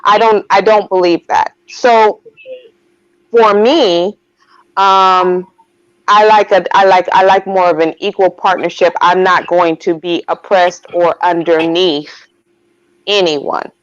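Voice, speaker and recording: A woman's voice: fairly low pitch, steady tone, slow pace, dry recording. Assertive woman on dull lo-fi audio. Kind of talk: opinionated discussion.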